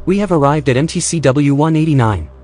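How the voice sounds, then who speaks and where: An actor playing a literal-minded, slightly peevish station technician in a science-fiction audio drama. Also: male voice, on the high side, lively intonation, fairly quick, a little mellow. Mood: matter-of-fact. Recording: music bed behind the voice.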